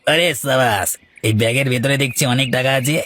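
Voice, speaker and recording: A man's voice: medium pitch, moderate pace, fairly bright tone, slight background noise, lightly acted. A man voicing a sly, greedy petty thief in a cartoon, jittery and wheedling. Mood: gleeful. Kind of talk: cartoon voice acting.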